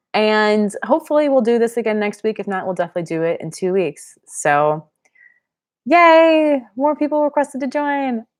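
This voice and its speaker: A young woman from Pennsylvania hosting a live-stream Q&A, chatting casually and reading viewer comments aloud. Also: mid-range voice. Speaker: channel host.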